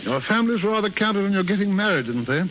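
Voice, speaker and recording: An actor playing a frank, avuncular, pragmatic American consul in 1930s radio drama style. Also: male voice, high voice, animated, fast talker. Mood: persuasive. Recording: muffled, hissy 1930s radio broadcast recording.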